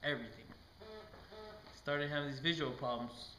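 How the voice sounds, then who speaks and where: A man with a bright, forceful voice at middle pitch tells a patient's story in clipped phrases. It is an amateur performance. The room is echoey and slightly noisy. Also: steady tone, moderate pace. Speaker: patient guest in a student skit. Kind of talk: amateur first-person testimonial. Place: indoor room, amateur student video. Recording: echoey room with background noise.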